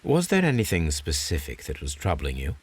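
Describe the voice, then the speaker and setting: A man voicing a composed, probing Victorian gentleman detective with an English accent and a formal, courteous, measured delivery in a video game. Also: a bass voice, huge pitch swings, slightly rough, exaggerated voice. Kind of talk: video game cutscene acting.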